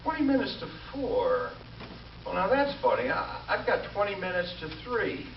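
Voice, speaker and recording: Fussy, vain male comedian played by an actor, high and swooping, slow stammering delivery, vintage radio comedy, echoey stage. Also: huge pitch swings, full character voice. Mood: flustered, fussy. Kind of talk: 1940s radio comedy acting. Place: live stage show before an audience. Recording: echoey stage recording, distant, noisy, dull.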